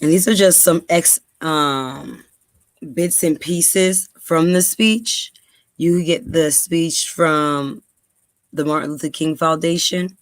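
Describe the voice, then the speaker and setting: A woman's voice: low-pitched, steady tone, slow, no echo. Earnest New woman vlogger. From New York, USA. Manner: earnest, many ums and repeats. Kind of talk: casual talk to camera, then reading aloud.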